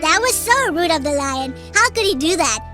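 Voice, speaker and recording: A performer voicing an eager, chatty young child in a children's cartoon, expressive and childlike. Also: high voice, moderate pace, faint music behind. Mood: indignant.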